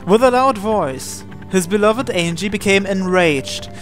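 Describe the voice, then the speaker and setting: Guy narrating a visual novel playthrough, highish voice, some casual comments, music in the background. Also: expressive, measured pace. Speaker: gaming channel host reading aloud. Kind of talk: reading game narration aloud, with casual asides. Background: game music.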